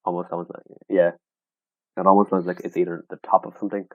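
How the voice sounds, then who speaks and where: Brief: young Irish man, very low monotone, quick delivery, tinny dry line. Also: no room sound. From Wexford, Ireland. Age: young adult. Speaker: guest, field name collector. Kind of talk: casual conversation. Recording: tinny phone-like call audio.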